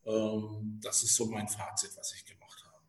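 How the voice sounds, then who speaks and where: A man of about fifty introducing himself as an interview guest, speaking haltingly and restarting his phrases. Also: fairly low pitch, monotone. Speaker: podcast guest, office employee in leasing. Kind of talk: halting spontaneous self-introduction. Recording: roomy, echoing indoor sound.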